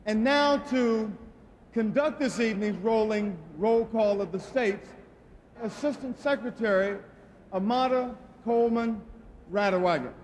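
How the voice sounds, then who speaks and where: Male convention announcer, high voice, slow drawn-out emphasis, light noise behind. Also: darkish tone. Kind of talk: formal announcement. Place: convention hall.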